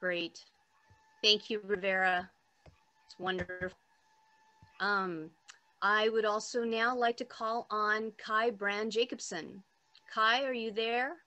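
A woman moderating an online panel, introducing speakers with brisk, friendly remarks over a video call. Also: medium pitch, flat delivery, sharp tone.

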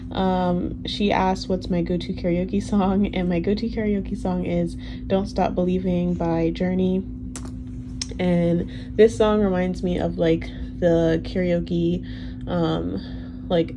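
A young woman in her twenties whose first language is Haitian Creole, chatting casually in a relaxed, rambling way full of ums and likes. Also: fairly low pitch. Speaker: planner vlogger. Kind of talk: casual Q&A chat over a plan-with-me. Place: indoors at a desk. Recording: audible background noise.

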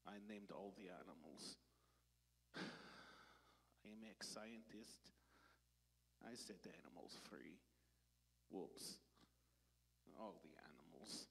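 Man doing a bumbling foreign ex-scientist, broken English, deadpan, sighing, slow, echoey hall. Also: sits low, vocal fry, breathy edge, heavily acted. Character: bumbling foreign male ex-scientist in a church skit. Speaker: children's skit performer. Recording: long hall reverb.

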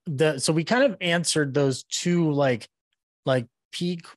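A man in his thirties hosting a casual panel discussion, talking in a halting, enthusiastic way. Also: a little high, no echo. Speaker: podcast host and moderator. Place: small room indoors, video call. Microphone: podcast mic on boom arm, headset.

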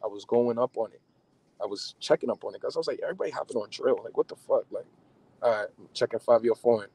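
A man's voice: medium pitch, fast talker, vocal fry, darkish tone, husky, rough recording. A young man from New Jersey talks with a guest's easy, slangy looseness, trailing into fillers and restarts. He speaks on a video call from inside a car, the sound coming through a phone held at arm's length. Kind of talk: casual chat. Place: car interior. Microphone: phone camera at arm's length on video call.

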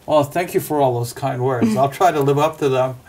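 Measured middle-aged man, roomy distant sound. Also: mid-range voice, darkish tone. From Guyana. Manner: measured, deliberate, short phrases with pauses. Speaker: spine surgeon, interview guest. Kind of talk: interview answers, health advice. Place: small office, seated at desk. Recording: roomy, distant mic, faint background noise.

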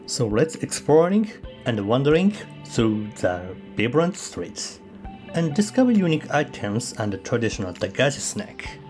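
A man who does not speak English as his first language narrates a travel video as a voice-over. His pitch is mid-range for a man, and he speaks in halting phrases with frequent fillers. Quiet music plays under his voice. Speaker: travel vlogger.

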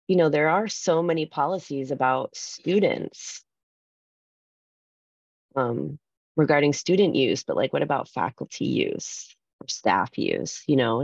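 A woman's voice: sits low, creaky, darkish tone, slightly rough, dry recording. A woman presenter chatting casually with colleagues on a video call. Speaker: conference presenter. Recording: dull video-call audio.

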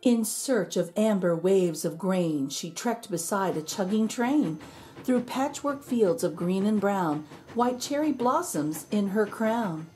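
A woman reading a rhyming children's picture book aloud in an expressive, measured storytelling manner. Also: medium pitch, soft background music. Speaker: storybook reader.

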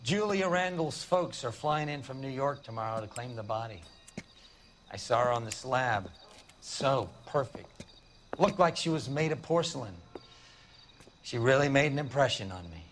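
Guy playing a brooding american police boss, tired of it all, mid pitch, crime game. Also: expressive, normal speed, a bit bright, a little hiss or hum, natural voice. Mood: brooding, wistful. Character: world-weary, brooding male police boss.

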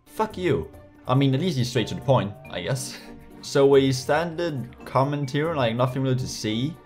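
A man's voice: medium pitch, expressive, normal speed, a little mellow, soft background music. A young man from Denmark with a slight Danish accent, reading comments aloud in his bedroom with sarcastic, laughing asides and lots of restarts. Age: young adult. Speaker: YouTuber. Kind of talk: casual vlog reading comments. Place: small bedroom, indoors. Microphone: USB desk mic.

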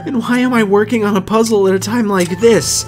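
A woman voicing a small, earnest teenage schoolboy in an anime fandub, excitable in manner. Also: mid-range voice, a little fast, music in the background, close to an everyday voice. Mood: worried.

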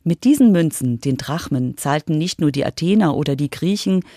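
A woman's voice: low-pitched, expressive, moderate pace, warm tone, no echo, studio quality. A woman reading scripted narration as the reporter of a children's radio feature.

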